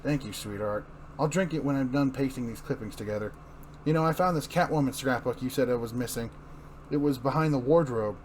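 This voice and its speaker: A man's voice: medium pitch, brisk, close to an everyday voice. Guy doing worn out old cop dad, fretting, comic book reading, noisy. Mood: weary worry. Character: anguished older police father, weary and fretful. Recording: clearly noisy room recording.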